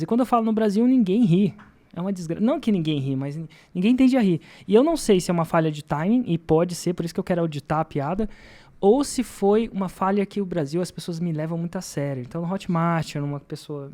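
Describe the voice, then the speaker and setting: A man's voice: on the high side, darkish tone. A man with a Brazilian Portuguese accent talks casually as a podcast host. He explains and tells anecdotes in a self-deprecating, informal way. He often repeats a phrase to drive it home. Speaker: podcast host and marketing teacher.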